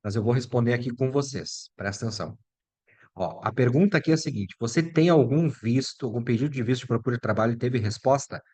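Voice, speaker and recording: A middle-aged lawyer with a Brazilian Portuguese accent explaining immigration rules in a chatty, direct way, talking straight to the viewer. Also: male voice, mid-range voice, fast, a little mellow. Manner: chatty and direct, addresses viewer as "tu" and "meu filho". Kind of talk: casual explainer video.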